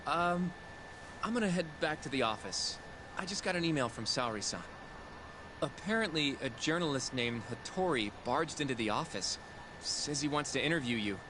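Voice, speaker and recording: A man's voice: mid-range voice, lively intonation, normal speed, background noise, natural voice. An actor playing an earnest, modest young man in a game, polite and a little hesitant. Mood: modest, easygoing. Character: earnest, modest young male sidekick in a law office.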